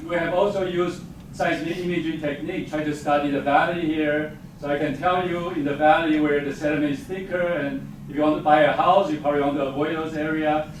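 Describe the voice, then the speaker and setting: Echoey Taiwan-born man, English. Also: a little high. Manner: non-native grammar, self-deprecating, chuckles often. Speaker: geophysics professor. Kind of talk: casual faculty talk. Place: lecture hall. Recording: very echoey hall, far-off mic, noisy.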